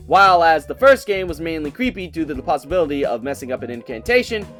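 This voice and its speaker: A man giving a casual, scripted game review with an enthusiastic, playful and expressive delivery. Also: on the high side, fast talker, faint music behind.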